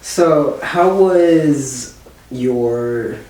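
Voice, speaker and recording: A man's voice: medium pitch, drawn-out words, dark tone. Male interviewer, middle register, loose slangy banter, slightly off-mic. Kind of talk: casual joking chat. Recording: roomy, distant mic, faint noise.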